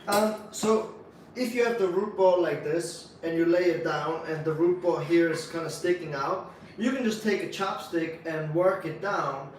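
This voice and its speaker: A man with a Swiss accent co-hosting a casual bonsai livestream, explaining techniques in a relaxed, practical way with lots of fillers. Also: a little high, moderate pace, a little hiss or hum. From Switzerland. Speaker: bonsai nursery co-host. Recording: echoey room, mic at a distance.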